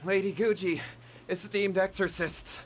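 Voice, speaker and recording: An actor voicing an eager, deferential young village man, hurried and polite, in a video game. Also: sits high, fairly even intonation. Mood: hurried relief. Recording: game music behind.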